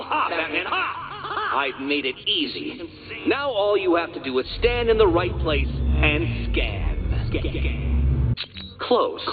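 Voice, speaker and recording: Arrogant riddle-obsessed game villain: slow, savoured taunts, hugely animated intonation, condescending and pompous. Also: male voice, sits high, heavily acted. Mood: smug, teasing. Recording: dull lo-fi sound under loud music.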